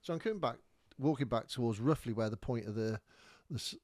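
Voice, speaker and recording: A man's voice: mid-range voice, quick pace, dry recording. Chatty man with a dry sound. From North Wales. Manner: chatty, rambling, with many fillers and restarts. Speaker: podcast host. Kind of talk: casual storytelling while showing maps.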